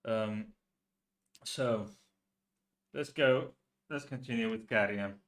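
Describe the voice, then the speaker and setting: A man from Finland hosting a casual chat, talking in a halting way full of restarts and filler sounds. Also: lowish voice, fairly bright tone. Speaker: host.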